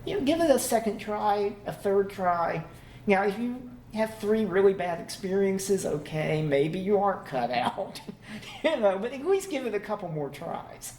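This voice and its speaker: A man giving a relaxed, conversational conference talk to a live audience, laughing easily as he goes. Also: high-pitched, unhurried. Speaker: conference presenter. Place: conference room. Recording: echoey room, faint background hum.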